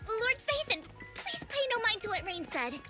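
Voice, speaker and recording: A woman's voice: extremely high pitch, big pitch swings, moderate pace. A woman playing a shy, polite, easily flustered young woman devoted to someone, in anime-style video game acting with a pleading manner. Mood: polite, hurried. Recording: game music clearly behind the voice.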